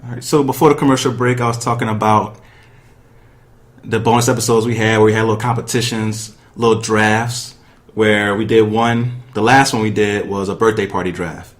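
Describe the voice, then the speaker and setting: A man's voice: fairly low pitch, fairly even intonation, normal speed. A man hosting a sports podcast in a casual, chatty way, speaking directly to listeners and guests. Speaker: podcast host. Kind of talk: casual sports talk with guests and sponsor reads.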